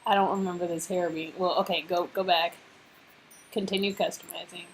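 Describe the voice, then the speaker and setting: A woman's voice: lowish voice, little pitch movement, fairly quick, slight background noise, low-quality recording. A woman chatting casually and playfully as she reacts to a story game she is playing with a co-host. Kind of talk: casual banter during a game playthrough.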